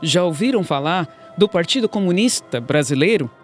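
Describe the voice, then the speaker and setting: A woman's voice: fairly low pitch, animated, moderate pace, faint music behind. A woman with a Brazilian accent reading scripted narration for a radio documentary.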